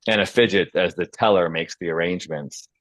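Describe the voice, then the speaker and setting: A man's voice: deep voice, a little fast, fairly bright tone, no room sound. A man narrating stage directions at a script table read.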